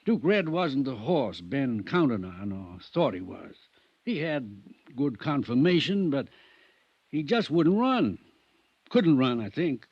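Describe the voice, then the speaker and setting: Reluctant middle-aged doctor, slow, halting delivery, vintage radio drama, dull dry sound. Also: male voice, medium pitch, big pitch swings, husky, dry recording, exaggerated voice. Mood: reluctant confession. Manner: hesitant, halting. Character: reluctant, troubled male country doctor, loyal old friend.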